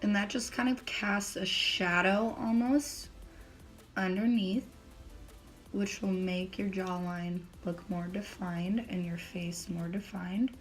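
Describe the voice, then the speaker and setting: A young woman with a slightly raspy voice, still recovering from laryngitis, chatting casually through a makeup tutorial with lots of fillers. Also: mid-range voice, fairly even intonation, slow, soft background music. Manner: chatty, filler-heavy step-by-step explaining. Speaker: beauty vlogger.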